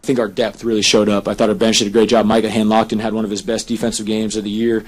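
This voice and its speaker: A man's voice: fairly low pitch, flat delivery, quick pace. A man speaking as a head coach, giving matter-of-fact post-game remarks in a conversational way.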